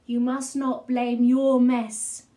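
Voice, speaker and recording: A woman's voice: on the high side, level tone, takes it slowly, warm tone, roomy sound. A woman voicing a stern, exasperated mum in a children's story, firm and admonishing.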